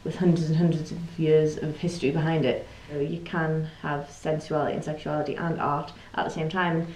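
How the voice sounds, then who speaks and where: Lowish voiced woman being interviewed, thoughtful, echoey room w faint noise. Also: level tone, brisk, darkish tone. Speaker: burlesque performer. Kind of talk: reflective interview talk.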